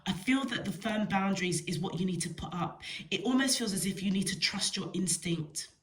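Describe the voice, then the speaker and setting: A woman's voice: medium pitch, a little fast. A woman with a cold and a stuffy nose, giving a weekly tarot card reading in an earnest, meandering way with frequent hesitations. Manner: earnest, addresses the listener directly. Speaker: tarot reader.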